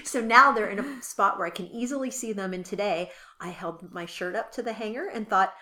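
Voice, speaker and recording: A woman's voice: mid-range voice, brisk, sharp tone. A woman co-hosting a casual podcast chat, her voice scratchy from allergies, laughing easily as she talks. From California. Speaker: podcast co-host.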